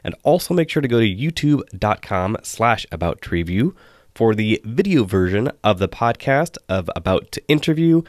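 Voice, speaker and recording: A man hosting a solo podcast, hoarse and losing his voice, chatting with wry asides. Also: lowish voice, sing-song, normal speed, no room sound, clean studio sound. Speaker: podcast host.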